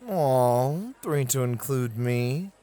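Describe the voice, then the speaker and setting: A man playing a flirty, gentlemanly club host who teases and gently coaches in an ASMR roleplay, with courteous, theatrical phrasing. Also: medium pitch, animated, extremely slow. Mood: flirty, guiding.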